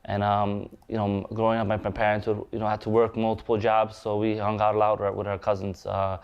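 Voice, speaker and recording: A young man from Poland with a Polish accent, a police officer and boxing manager, speaking in a halting, earnest way with many restarts in a documentary interview. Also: fairly low pitch, monotone, smooth, highs cut off.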